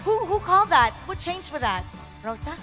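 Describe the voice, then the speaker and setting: A woman's voice: very high-pitched, very animated, a little fast, pushed and bright, faint music behind. A woman interviewing esports players after a match, bubbly and playful.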